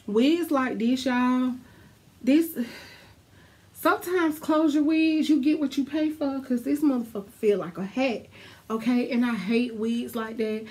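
A young woman talks to her camera in a small dark room, her voice stuffy and congested. She drawls slowly and bluntly, swearing freely as she chats to her viewers. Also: on the high side. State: stuffy, congested from a sinus infection. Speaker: beauty vlogger. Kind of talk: casual wig review to camera.

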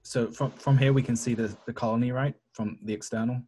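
Guy playing tabletop rpg on a call, mellow husky voice, casual. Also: mid-range voice, steady tone, fairly quick. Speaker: player. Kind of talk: casual tabletop game chat.

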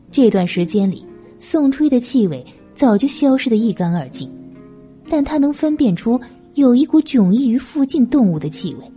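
Bright, lively woman narrating a historical novel. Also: fairly high pitch. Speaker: audiobook narrator. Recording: music behind the voice. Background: music.

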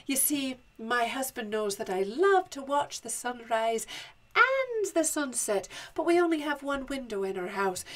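A woman voicing a clever giant's wife in a children's folk tale, sweetly cunning and politely coaxing. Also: high-pitched. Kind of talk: children's storytelling character voice.

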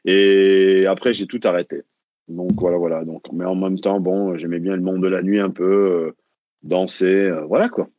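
A man's voice: low-pitched, little pitch movement, normal speed, dry recording. A French man, a runner and mental coach, talking casually as a guest and restarting his sentences often. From France. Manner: hesitant, many restarts and fillers. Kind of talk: casual interview. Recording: dull lo-fi call audio.

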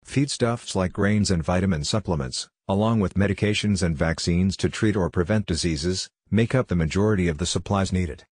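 A man narrating a scripted farming guide in a steady, even reading voice. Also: deep voice, normal speed, few pauses, breathy edge, no echo, clean studio sound.

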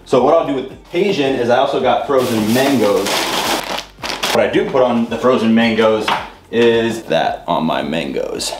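A man's voice: mid-range voice, lively intonation, moderate pace. A young man chatting casually to camera in his home kitchen, showing off groceries with a loose, rambling delivery full of "uh" and "like". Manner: loose and rambling, many fillers like "uh" and "like". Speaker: fitness vlogger. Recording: roomy kitchen with clear background noise.

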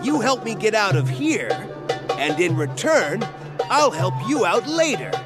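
A man's voice: high voice, very animated, a little slow. A man voicing a boastful, short-tempered echidna adventurer with pompous, streetwise bluster in a video game. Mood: irritated. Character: boastful, gruff-tempered male cartoon echidna playing an adventurer. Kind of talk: video game cutscene acting. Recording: loud music under the voice.

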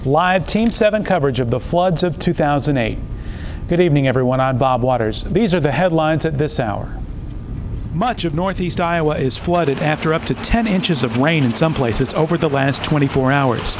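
A man's voice: on the high side, animated, moderate pace, slight background noise. A man with an American accent reading scripted news as a television anchor.

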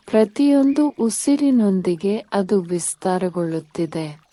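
Female meditation guide: soft-toned, dark and mellow, very slow scripted delivery with soothing pauses. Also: medium pitch, no room sound. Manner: soothing, unhurried, instructing in short phrases with pauses.

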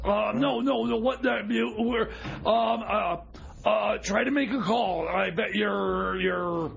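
A man playing a bumbling, fretful radio sidekick in comedy radio theater, stammering and hesitant with a very creaky voice. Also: sits high, takes it slowly, fairly bright tone, dull audio, full character voice. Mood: nervous. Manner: stammering, hesitant, full of uhs. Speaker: radio co-host.

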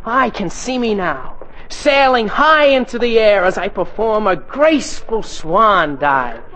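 An excitable teenage boy in a vintage radio comedy. His voice swoops up and down as he boasts, whines and blusters, tripping over words when rattled. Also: sits high, measured pace, low-quality recording. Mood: boastful, eager. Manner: stammering when nervous, blustering. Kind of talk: 1940s radio sitcom acting. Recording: vintage 1940s radio broadcast.

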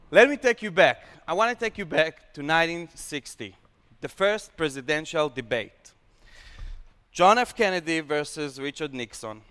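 A young Israeli man, a startup founder on stage, pitches with lively gestures and slightly broken English. His voice sits a bit high and sounds smooth and resonant. The sound carries a long reverb from a large hall. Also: unhurried. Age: young adult.

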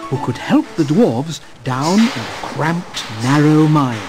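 A male storyteller with a fairly high voice tells a fairy tale. His delivery is expressive and lively, with music clearly behind him. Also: slow pace. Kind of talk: scripted fairy-tale narration.

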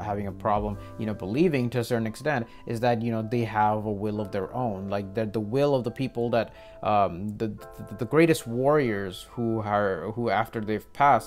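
A young man giving casual, rambling commentary to viewers as a reaction video host, peppering it with "you know.". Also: mid-range voice. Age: young adult. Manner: rambling, repeats filler phrases like "you know". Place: small indoor room with desk and posters.

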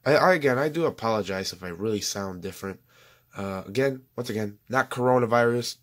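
A man's voice: fairly low pitch, brisk. Young man losing his voice, chatty. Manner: rambling, chatty, self-correcting. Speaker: toy reviewer. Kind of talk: casual toy review voice-over.

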